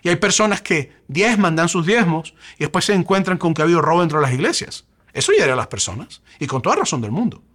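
A man with a Venezuelan Spanish accent preaches from the pulpit. His voice sits a bit high for a man, and he builds emphasis through repeated rhetorical questions. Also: very animated, fast talker, a bit bright. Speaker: pastor. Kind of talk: sermon.

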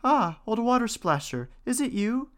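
A man voicing a spoiled young princess in a folktale reading, pitching his voice up into a high, pleading tone. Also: very animated, moderate pace, a bit bright, heavily acted. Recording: faint guitar music behind.

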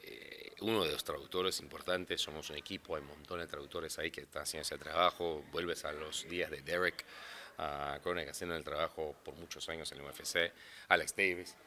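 Very deep-voiced Brazilian man. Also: fairly even intonation, a little fast, sharp tone, slight background noise. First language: Portuguese. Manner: rambling, restarts often. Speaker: interpreter. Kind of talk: casual interview talk.

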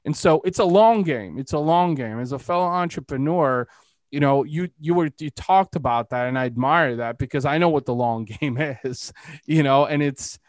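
A man's voice: a little high, moderate pace, no echo. A man from Eastern Europe hosting a podcast interview in a casual, rambling way, sprinkling his talk with filler phrases. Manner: rambling, frequent "you know". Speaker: podcast host, entrepreneur.

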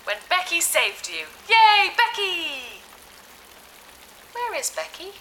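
Bright, excitable childlike AI. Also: female voice, extremely high pitch, takes it slowly, a little hiss or hum, heavily acted, low-quality recording. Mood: gleeful. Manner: refers to itself by name, naive, blunt. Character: childlike, excitable ship AI with cheerfully villainous streak. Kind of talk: sci-fi audio drama acting.

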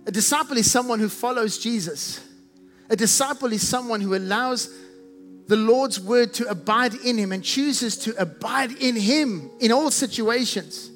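A man with a South African accent delivers his sermon from the pulpit in an unusually high voice. He is emphatic, piles up synonyms and draws out the phrases he wants to stress. His voice rings through a reverberant hall while soft music plays faintly behind him. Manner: emphatic, repeats strings of synonyms, stretches key phrases slowly. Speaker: preacher.

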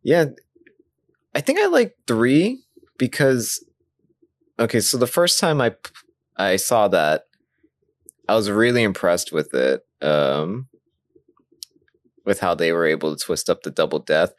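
A man co-hosting a podcast, chatting casually about a video game in an animated way and often laughing as he talks. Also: lowish voice, unhurried, long pauses, dry recording. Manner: animated, laughs while talking. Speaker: podcast co-host.

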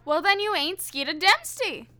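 A woman's voice: very high-pitched, big pitch swings, moderate pace, sharp tone, full character voice. A woman playing a jittery, tough-talking small-time crook in an old-time radio drama, brash and defiant at first, then frightened and pleading. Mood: scared, defiant.

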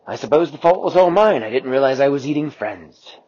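A worried mother dinosaur, played by a man, pleads in a raised, husky voice. Her pitch swings widely between lines. The sound is dull and lo-fi. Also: expressive, fairly quick, a little mellow. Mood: calm. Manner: rising anxious pleas. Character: worried mother T-Rex, anxious, big.

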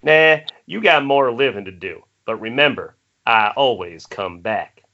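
Man playing sinister dark stranger, taunting, forceful tone, table-read drama, muffled internet-call sound. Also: mid-range voice, lively intonation, unhurried. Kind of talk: amateur table read of a screenplay. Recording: dull lo-fi online call, faint noise.

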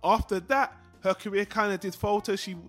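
A man's voice: sits high, fairly even intonation, fairly quick, fairly bright tone, soft background music. A man co-hosting a film discussion show, giving blunt opinions in a casual, sweary style.

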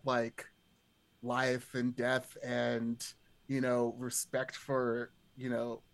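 A man talking casually about film as a podcast guest, in rambling, circling sentences peppered with "like". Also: mid-range voice.

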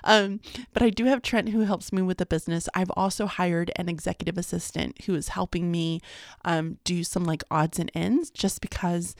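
A woman's voice: fairly low pitch, fairly even intonation, moderate pace, vocal fry, no room sound, clean studio sound. A woman, chatting casually in a rambling daily vlog, sounding sleepy. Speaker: vlogger.

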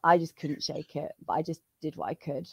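A woman, a jiu-jitsu competitor, chatting casually as an interview guest with many fillers and restarts; her voice is a little hoarse from a cold. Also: deep voice, fairly even intonation, brisk, a little mellow, no room sound. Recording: dull, dry lo-fi sound.

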